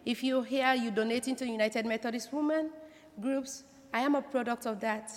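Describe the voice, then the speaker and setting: A woman speaks to a congregation in testimony style. Her voice sits a little high, and a long hall reverb trails her words. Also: fairly even intonation, normal speed. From Africa. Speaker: church member introducing speakers.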